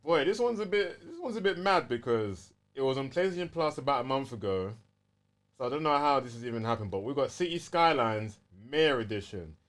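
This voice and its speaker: A man's voice: medium pitch, lively intonation, fairly quick, fairly bright tone. A man with a London accent chatting casually and easily as a gaming podcast co-host, using plenty of slang.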